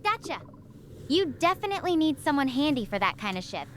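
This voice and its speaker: A woman's voice: sits high, sing-song, a little fast, fairly bright tone, a little hiss or hum. A synthetic woman's voice playing a stranded young space researcher, chatty and full of questions. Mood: curious. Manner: chatty, curious, asks many questions. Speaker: astrophysics researcher.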